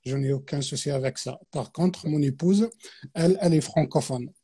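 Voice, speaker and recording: Man, soft and husky. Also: on the high side, moderate pace. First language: Arabic. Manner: hesitant, many fillers and restarts. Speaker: guest teacher. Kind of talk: explanatory religious talk on a live stream.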